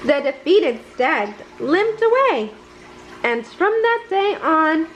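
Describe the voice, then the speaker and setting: A woman reading a children's storybook aloud in an expressive storytelling manner. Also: extremely high pitch, slow pace, slight background noise, low-quality recording. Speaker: story reader. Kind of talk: bedtime storybook read-aloud.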